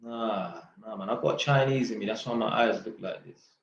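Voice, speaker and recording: A man's voice: on the low side, moderate pace, gravelly, highs cut off. A man reads out viewer questions and answers them bluntly in casual, slangy chat, swearing freely and joking. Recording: very echoey room.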